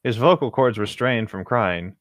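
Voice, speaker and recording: A man with a fairly low voice reads a story aloud and comments on it casually. The recording is close, with hardly any room sound. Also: moderate pace, no echo. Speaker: co-host.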